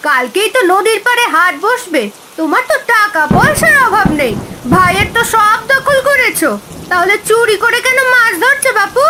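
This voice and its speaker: Woman playing a very shrill, nosy village auntie. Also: lively intonation, normal speed, very noisy. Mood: accusing. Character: nosy, scolding village auntie who takes hush money. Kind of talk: cartoon dialogue.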